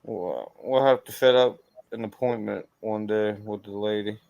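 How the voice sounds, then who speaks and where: A man's voice: on the low side, moderate pace, gravelly, a little mellow, no echo, lo-fi sound. A man hosting a casual livestream chat in an easygoing, conversational style. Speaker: host of a paranormal investigation channel.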